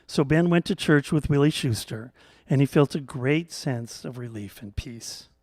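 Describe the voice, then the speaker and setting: A man telling a scripted story as a storyteller, in a measured, gently humorous way. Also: mid-range voice, no room sound, studio quality.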